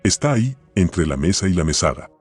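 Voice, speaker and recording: An actor with an Argentine accent playing a shaken, queasy police officer in a radio drama, with a husky voice. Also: male voice, sits low, big pitch swings, a little fast, soft background music, heavily acted. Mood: bewildered, defensive.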